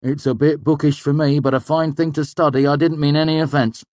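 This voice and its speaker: A man's voice: a little high, flat delivery, a little fast, a little mellow, no echo, pitched above the performer's own voice, natural voice. A man voicing an eccentric older male student in a storybook, blunt, wry and given to teasing and grumbling. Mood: weary, conciliatory.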